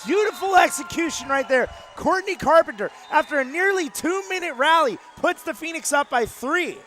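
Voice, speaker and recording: Male sports analyst, high voice, conversational live volleyball commentary from a gym. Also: animated, normal speed, pushed and bright. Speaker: color commentator. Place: courtside in a gymnasium.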